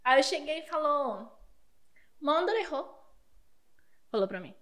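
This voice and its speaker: A woman with a high voice tells a long childhood story in a relaxed podcast chat. She laughs often as she talks. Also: takes it slowly. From Paraguay border region. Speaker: guest.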